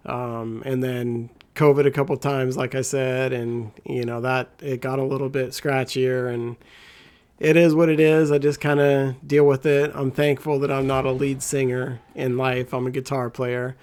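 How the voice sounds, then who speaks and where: A man with a scratchy, gravelly voice giving laid-back, rambling commentary as a gameplay guide. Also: mid-range voice, flat delivery, moderate pace, darkish tone. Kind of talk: casual walkthrough commentary.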